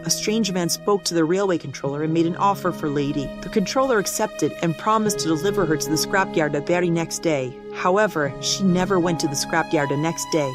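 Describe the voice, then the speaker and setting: A man voicing a kindly steam engine in a cartoon dub, telling an old legend in a measured, earnest way. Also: a little high, over music, natural voice. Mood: earnest, storytelling. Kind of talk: comic dub cartoon storytelling.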